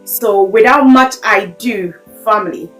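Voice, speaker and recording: A woman hosting an interview, speaking effusively and filling pauses with "you know". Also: mid-range voice, echoey room, soft background music, low-quality recording. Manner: effusive, warm praise, fillers like "you know". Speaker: host. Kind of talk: podcast interview host.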